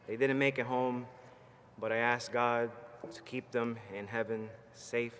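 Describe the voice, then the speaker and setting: A man's voice: medium pitch, flat delivery, unhurried, a bit bright. A man, a Marine veteran giving a rousing commencement speech from a stage. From Puerto Rico. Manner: fired-up, rousing, plainspoken and personal. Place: large ceremony hall, from a stage. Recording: long hall reverb, faint background noise.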